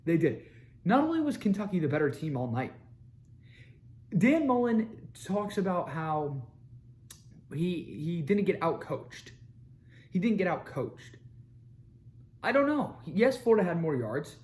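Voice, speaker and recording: A young man hosting a sports show, ranting with energy and repeating his points for emphasis, his voice a little raspy from screaming. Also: sits high, very animated, unhurried, pauses often, a little mellow. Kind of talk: fired-up sports commentary to camera.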